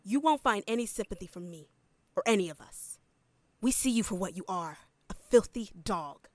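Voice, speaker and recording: A woman's voice: mid-range voice, lively intonation, moderate pace, darkish tone, no room sound, natural voice. A woman playing a cold, contemptuous captor in an audio drama, scornful and controlled. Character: cold, contemptuous woman guarding a hated captive.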